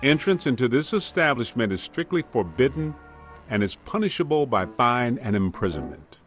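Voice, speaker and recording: Streetwise working-man ghostbuster, lively intonation, wry, skeptical, game cutscene. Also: mid-range voice, normal speed, faint music behind. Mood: reading out. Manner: wry, plain-spoken. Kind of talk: video game cutscene acting.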